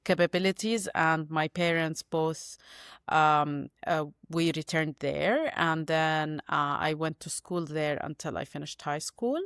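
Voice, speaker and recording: A woman in her forties, born in Syria and raised in Gaza, speaking as a podcast guest in a thoughtful, pausing way over a video call. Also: sits low, a bit bright, clear, resonant tone, no echo, studio quality. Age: 40s. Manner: thoughtful, searching for words with many pauses. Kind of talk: reflective interview conversation.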